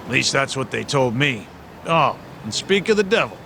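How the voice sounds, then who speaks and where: A chatty homeless man in a game cutscene, with a gravelly, lively voice that swings around in pitch. He talks in folksy slang, familiar and familiar-mannered, over clear city street noise. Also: normal speed, full character voice. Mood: pleased, surprised. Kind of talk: video game cutscene acting.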